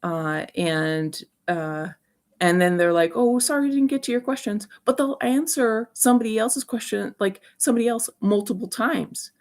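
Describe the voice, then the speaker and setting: A woman giving rambling opinion commentary as a video host, speaking haltingly but emphatically, with an aggrieved manner. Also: on the high side, a little mellow.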